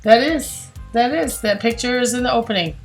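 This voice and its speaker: A woman's voice: on the high side, fairly quick, soft background music, poor audio. An American woman in her forties chats casually as a co-host, telling personal stories in a candid, self-mocking way. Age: 40s. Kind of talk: casual conversational storytelling.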